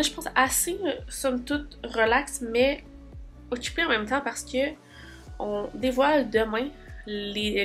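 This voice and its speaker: A woman in her twenties with a Québécois French accent, chatting casually in a vlog diary while stuffy from a cold, full of fillers. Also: fairly high pitch. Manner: chatty, many fillers like "fait que" and "tu sais". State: congested from a cold. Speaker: vlogger.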